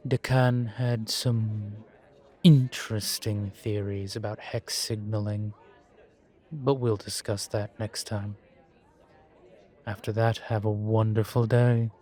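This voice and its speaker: A man plays a genteel demon professor in an audio drama. His voice sits low and moves very slowly, with deliberate pauses. He sounds erudite, formal and dryly amused, as if lecturing at his leisure. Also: flat delivery, warm tone, a faint crowd. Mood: brisk, courteous. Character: urbane male demon professor, erudite, wryly amused, unhurried. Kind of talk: scripted audio roleplay, lecture and conversation.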